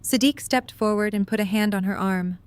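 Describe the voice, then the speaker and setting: A woman's voice: medium pitch, a little fast, smooth. A woman reading scripted story narration for an audio drama.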